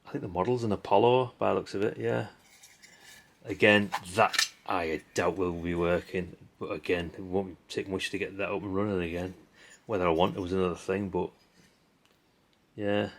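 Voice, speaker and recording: A middle-aged man rambles casually as he hosts a hobby video. His voice sits fairly low and has a heavy gravelly creak. He is dry, blunt and a bit sweary, over faint background noise and the clatter of handled parts. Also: fairly even intonation, normal speed. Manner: dry, blunt, mildly sweary, tag questions. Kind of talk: casual rambling commentary while sorting old electronics. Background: clicks and clatter of handled parts.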